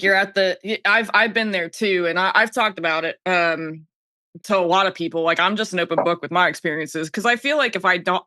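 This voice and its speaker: A woman's voice: on the low side, pushed and bright, dry recording, clean studio sound. An American woman in her thirties chatting casually and candidly as a podcast guest. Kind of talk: casual chat.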